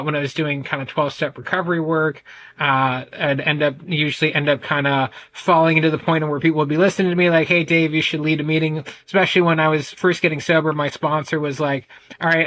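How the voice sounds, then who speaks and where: Loud talkative American man. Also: a little high, little pitch movement, a little fast, poor audio. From United States. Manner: loud, talkative, frequent restarts and fillers. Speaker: guest, men's ADHD coach. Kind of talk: candid conversational storytelling.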